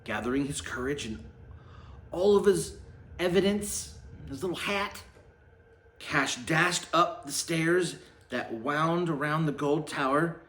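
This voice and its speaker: A man reading a storybook aloud with a theatrical, deliberate delivery. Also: a little high, a bit bright. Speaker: storybook reader. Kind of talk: animated read-aloud storytelling. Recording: echoey room, faint background noise.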